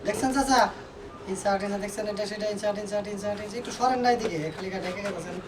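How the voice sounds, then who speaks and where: Distant man directing a shoot, very fast. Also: sits high. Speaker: film director. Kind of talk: calling directions on set. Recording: distant, noisy outdoor film set.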